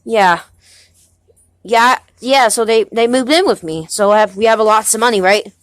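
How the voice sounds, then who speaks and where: A young man playing a halting nineteen-year-old husband. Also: high voice, fairly bright tone, no echo, clean studio sound. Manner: hesitant, halting, many restarts. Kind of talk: amateur home movie acting.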